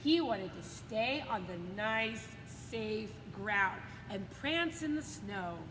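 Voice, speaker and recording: A woman's voice: mid-range voice, lively intonation, slow, over music. A woman reading a children's story aloud and adding simple, encouraging asides like a teacher. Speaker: coach reading a picture book to students. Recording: echoey reverberant room with loud background noise.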